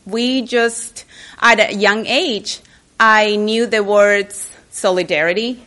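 A woman's voice: mid-range voice, fairly even intonation, slow, sharp tone. A woman gives a personal keynote speech at a library event, chatty and openly nervous, often restarting her sentences. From Chile. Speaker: keynote speaker.

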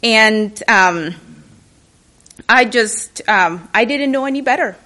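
A woman's voice: fairly high pitch, expressive, slow pace, sharp tone. Nervous chatty woman. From Chile. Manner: nervous, chatty, self-mocking, with restarts. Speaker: keynote speaker. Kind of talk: personal speech to an audience. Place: public library event.

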